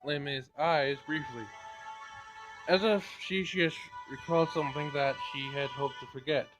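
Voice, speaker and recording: Higher-voiced man reading a story aloud over music. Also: unhurried, poor audio. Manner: stumbles and re-reads phrases while narrating. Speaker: player reading a visual novel aloud. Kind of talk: narration read aloud, unrehearsed.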